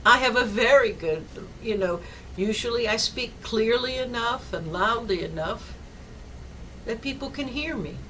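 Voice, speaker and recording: Older woman chaplain, deep and forceful, projects well; distant mic, light background noise. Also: expressive, a little slow. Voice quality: low-pitched, projecting voice. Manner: loud and forceful, rambling personal stories. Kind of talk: devotional talk.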